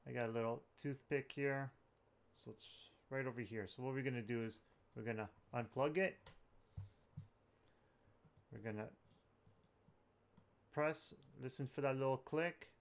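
A man's voice: mid-range voice, measured pace, vocal fry, pauses often. A man hosting a tech tutorial, talking viewers through steps in an informal, plain-spoken way. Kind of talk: casual step-by-step tutorial narration.

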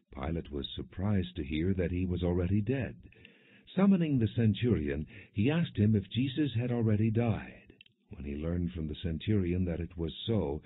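A man narrating scripture as an audiobook, reading in a solemn, measured, expressive manner. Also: low-pitched, slightly rough. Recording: dull, phone-like narrow-band audio.

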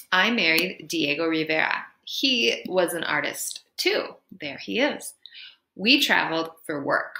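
A young woman teacher reading a picture book aloud to children, gentle and encouraging, often stopping to ask her listeners questions. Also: medium pitch, creaky. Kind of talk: children's read-aloud lesson. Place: small room indoors. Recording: echoey room.

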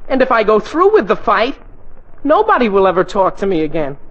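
A man playing an excitable, boastful teenage boy in a 1940s radio sitcom, earnest, whiny and easily flustered. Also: high voice, a little fast, full character voice. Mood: panicked, miserable. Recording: dull, noisy 1940s radio recording.

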